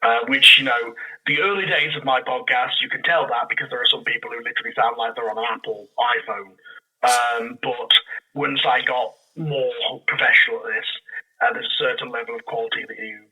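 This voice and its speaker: A man speaking casually as a podcast guest, explaining things in an animated, rambling way. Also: medium pitch, fairly quick, breathy edge, low-quality recording. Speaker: podcast guest, audio drama creator. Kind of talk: casual interview chat.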